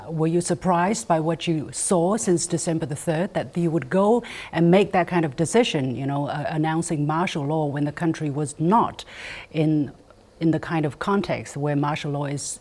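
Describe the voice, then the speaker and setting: Deep-set woman's voice leading a current-affairs panel. She poses pointed questions and firmly redirects guests who stray from the point. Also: darkish tone. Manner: probing, pressing questions, cuts in to redirect. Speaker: news panel host. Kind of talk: moderating a panel discussion.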